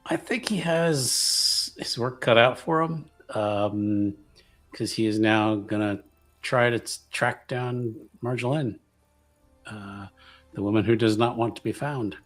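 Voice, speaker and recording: A man's voice: medium pitch, slow, fairly bright tone, faint music behind. A man playing a tabletop game, casually improvising what his character does, hesitant as he thinks aloud.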